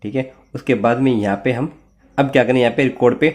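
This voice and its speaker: A man's voice: medium pitch, steady tone, quick pace, darkish tone. A man with an Indian accent hosting an informal tech tutorial, explaining each step in an instructional, repetitive way with frequent check-in tags. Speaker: host of a tech tutorial channel.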